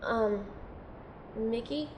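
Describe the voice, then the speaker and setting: A woman playing an earnest, unsure young female recruit in an audio drama, sounding sincere, gentle and tentative. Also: a little high, extremely slow, slight background noise. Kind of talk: audio drama acting and first-person narration.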